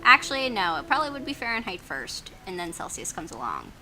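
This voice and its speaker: An excitable, geeky woman playing a story game, reading it out playfully with self-mocking asides. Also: mid-range voice, fast, rough recording. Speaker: gaming channel player reading out a story game. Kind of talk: playful Let's Play reading with joking asides. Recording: music playing behind the voice.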